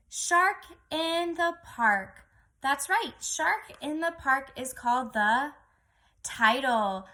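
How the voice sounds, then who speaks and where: A woman with a high, bright voice speaks as a teacher of young children. She takes her time, in a singsong read-aloud style, posing questions to her listeners as she goes.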